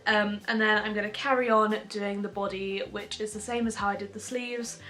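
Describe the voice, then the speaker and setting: A woman's voice: mid-range voice, level tone, moderate pace, fairly bright tone. A young woman giving a casual, chatty step-by-step crochet tutorial at home, with frequent ums.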